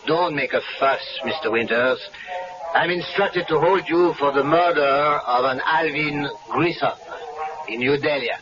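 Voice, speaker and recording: A man's voice: a little high, unhurried, music in the background, close to an everyday voice. A man playing a formal, courteous police lieutenant. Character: polite male police lieutenant. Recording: dull old radio broadcast with hiss.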